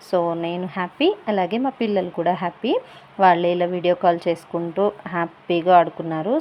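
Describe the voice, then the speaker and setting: A woman's voice: on the low side, a little mellow, slight background noise. A woman giving a casual product review voice-over, explaining things in simple, repeated steps. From India. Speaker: product review vlogger and parent.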